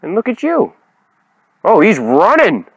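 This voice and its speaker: Young male fishing vlogger, lively and excitable, slow-spoken between bursts, filmed on a handheld camera out on a lake. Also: high-pitched, husky, no echo. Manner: excitable, whoops and laughs at strikes. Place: small boat on a foggy lake, outdoors.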